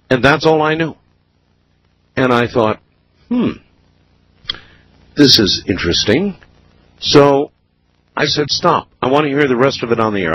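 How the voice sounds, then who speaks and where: A man hosting a radio call-in show, speaking in a slow, deliberate, measured way with pauses. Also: on the low side, fairly bright tone, husky, low-quality recording. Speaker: radio talk show host. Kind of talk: late-night radio call-in interview.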